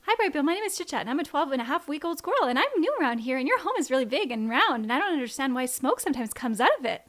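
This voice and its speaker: A woman's voice: high-pitched, very animated, rapid-fire, hardly any pauses, a bit bright. A woman voicing a nervous, chattering young female squirrel in an audiobook, rambling on in long run-on sentences. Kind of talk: audiobook character voice.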